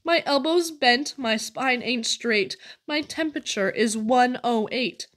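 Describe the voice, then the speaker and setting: A woman acts out a little girl from a storybook poem, complaining at length. The voice is high and unhurried, full of melodramatic self-pity. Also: a bit bright. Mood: whiny, pitiful. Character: whiny dramatic little girl faking endless illnesses.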